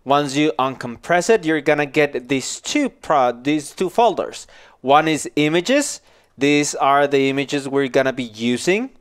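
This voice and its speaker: A man in his forties giving an informal software tutorial, explaining casually step by step into a headset microphone in a small office. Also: on the high side, expressive, a little slow, clean tone. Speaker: animation tutorial instructor.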